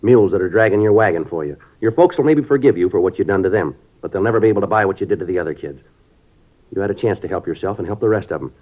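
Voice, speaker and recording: An actor playing a stern middle-aged police sergeant who narrates in a clipped, matter-of-fact way. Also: male voice, sits low, rapid-fire. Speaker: detective narrator. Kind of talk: 1950s radio acting. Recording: dull old radio recording with music.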